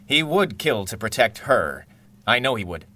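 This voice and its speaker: A man's voice: medium pitch, very animated, moderate pace, bright, forceful tone. A man playing a wry, coldly calculating villain with wordy, theatrical delivery in a radio drama. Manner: wordy, pompous, dryly theatrical. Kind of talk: 1930s-style radio drama acting.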